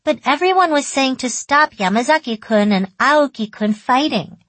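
Woman voicing a blunt, high-pitched little girl. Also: expressive, normal speed, fairly bright tone, no room sound. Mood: earnest, insistent. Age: child. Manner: innocent, matter-of-fact. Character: little girl, blunt, earnest, matter-of-fact, puzzled by others.

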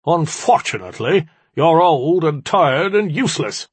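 A huge, hairy rat-spirit from a storybook, played by a male narrator, with a thick, booming and very husky voice. He is blunt and full of contempt, swinging his pitch as he throws his weight around. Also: big pitch swings, measured pace, no echo, full character voice. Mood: scornful. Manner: blunt, scornful.

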